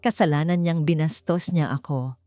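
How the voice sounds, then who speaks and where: A woman's voice: low voice, normal speed, mellow, faint music behind, close to an everyday voice. A woman voicing a smug, spoiled rich bride who talks in a haughty, sneering, boastful way in a narrated story. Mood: smug, cold.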